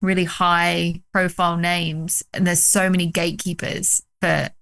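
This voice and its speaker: A woman from the UK, a documentary director, talking excitedly about her film on a video call, with many fillers. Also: lowish voice, unhurried, gravelly. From United Kingdom. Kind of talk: casual interview chat.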